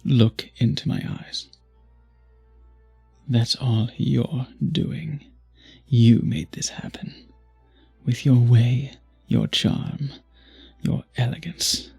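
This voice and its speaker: A man voicing a charming, seductive vampire in a romantic ASMR roleplay, husky and breathy with a courtly, teasing manner, often dropping to a whisper. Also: medium pitch, steady tone, a little mellow, faint music behind, full character voice. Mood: intense, hungry. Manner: slow, courtly, teasing, flirtatious. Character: charming, seductive male vampire at a masquerade ball.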